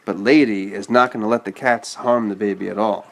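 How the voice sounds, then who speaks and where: A man's voice: on the low side, steady tone, fairly quick, creaky. A man reading a children's story aloud and chatting patiently with a child, explaining as he goes. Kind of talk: read-aloud storytelling with casual asides to a child.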